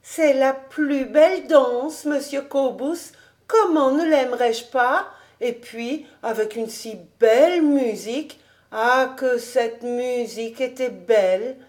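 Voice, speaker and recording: A woman voicing a sweet, shy young country girl with a soft, gentle voice in an audiobook. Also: sits high, animated, slow, pitched above the performer's own voice. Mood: delighted. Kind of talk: audiobook storytelling.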